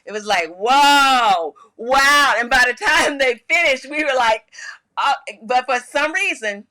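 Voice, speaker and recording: An older woman co-hosting a casual chat, telling stories in a loud voice and laughing often. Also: sits high, expressive, measured pace. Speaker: podcast co-host.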